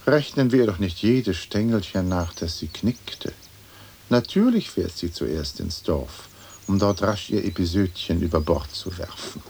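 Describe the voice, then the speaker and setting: An actor playing a haughty, sardonic English lord in a radio play, with an aloof, dry, precise way of talking, on an old recording. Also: male voice, sits low, unhurried, creaky, darkish tone, slight background noise. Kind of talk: German radio play acting.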